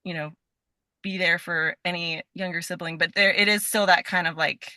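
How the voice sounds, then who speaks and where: A young American woman with a bright, forward voice at an ordinary pitch, recorded dry and close. She talks casually and effusively, circling through her sentences and peppering them with "like" as she goes. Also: steady tone, moderate pace, no room sound. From Nevada, United States. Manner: effusive, rambling, peppers talk with "like". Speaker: podcast guest, stage and voice actor. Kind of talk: casual chat.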